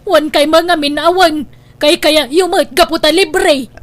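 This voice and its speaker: A woman's voice: high-pitched, steady tone, unhurried. A woman with an Ilocano accent acting a scolding, exasperated mother in a comedy audio drama. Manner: nagging, chiding. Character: scolding, exasperated mother of a hard-drinking grown son.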